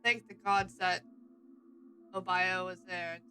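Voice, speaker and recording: A woman's voice: on the low side, level tone, slow pace, sharp tone, soft background music. A woman casually improvising in character as a player in a tabletop game.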